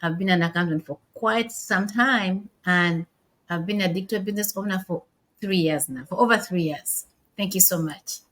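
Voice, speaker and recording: A woman's voice: fairly low pitch, normal speed, fairly bright tone. A woman from Uganda, an accountant, introducing herself politely and gratefully as a guest.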